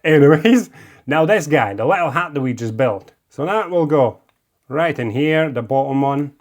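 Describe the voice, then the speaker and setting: Latvian-accented man, friendly tutorial host, animated voice sitting a bit high. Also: normal speed. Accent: Latvian, living in Scotland. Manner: chatty and encouraging, coaxing phrases. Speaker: model-building video host. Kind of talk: casual hands-on build tutorial.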